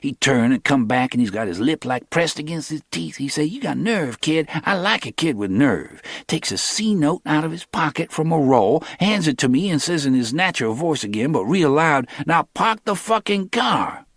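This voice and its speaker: A man voicing a streetwise young hustler who talks in quick, clipped street speech, animated and boastful in an audiobook reading. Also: a little high, dry recording, clean studio sound, voice pushed up.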